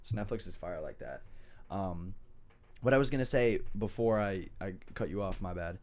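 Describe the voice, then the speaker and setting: A man's voice: low-pitched, animated, normal speed, a little mellow. A young man hosting a podcast, talking in a loose, slangy, rambling way with a stuffy nose. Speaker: podcast host. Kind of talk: casual chat.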